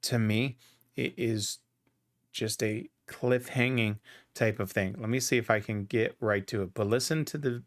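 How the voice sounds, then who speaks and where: A man hosting a music reaction video, talking through what he hears in a relaxed, thinking-aloud way with frequent restarts. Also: on the low side, unhurried. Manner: thoughtful, restarts often, says "right?". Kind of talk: casual reaction commentary.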